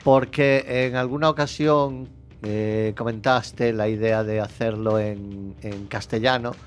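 A man interviewing a musician in a casual, joking way, quick to laugh. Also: on the low side, faint music behind. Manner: relaxed, joking, laughs easily.

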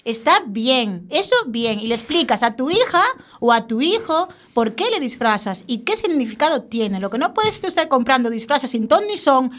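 A woman co-hosting a casual radio studio chat, lively and at times mock-stern. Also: high-pitched, moderate pace. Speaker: radio show co-host.

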